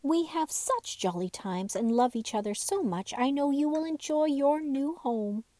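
A woman voicing a kind, cheerful male rag doll in a storybook, speaking gently and warmly to the other toys. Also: high voice, animated, measured pace, no echo. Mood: welcoming.

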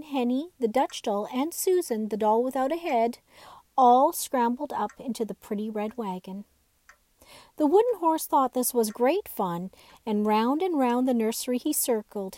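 A woman reading a bedtime story aloud in a gentle, expressive way. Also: a little high, dry recording.

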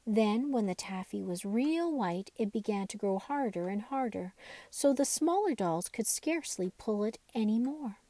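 Soft mellow woman reading a bedtime story. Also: fairly high pitch, lively intonation, moderate pace, no echo. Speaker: storyteller reading a children's book. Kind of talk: scripted bedtime story narration.

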